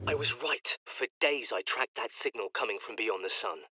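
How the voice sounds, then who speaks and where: A man's voice: mid-range voice, normal speed, sharp tone, husky, no echo, studio quality. A man playing a defensive, aggrieved communications technician in a game audio log, insistent and self-justifying. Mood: vindicated, bitter.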